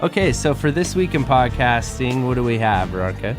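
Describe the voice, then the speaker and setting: A man co-hosting a podcast in casual chat, speaking informally with hesitant, trailing pauses. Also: medium pitch, big pitch swings, over music. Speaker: podcast co-host.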